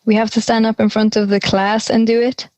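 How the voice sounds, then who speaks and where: A woman's voice: fairly high pitch, level tone, brisk, a little mellow, dry recording, lightly acted. A young woman playing an anxious, exasperated teenage schoolgirl in conversational audio drama dialogue. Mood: worried, disbelieving. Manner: exasperated, worried. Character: anxious schoolgirl dreading a class presentation.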